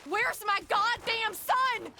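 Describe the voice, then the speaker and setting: High-pitched, desperate outlaw mother. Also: slow pace, pushed and bright, heavily acted. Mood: frantic, accusing. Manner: insistent, emotional. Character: frantic, desperate young American outlaw mother. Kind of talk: dramatic video game acting.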